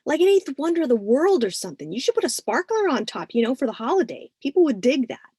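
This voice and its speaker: A woman voicing an excitable, playful fifteen-year-old boy who talks in teasing slang, in a story reading. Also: high-pitched, quick pace, breathy edge, dry recording, voice pushed up, full character voice. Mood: excited. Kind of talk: audiobook-style character reading.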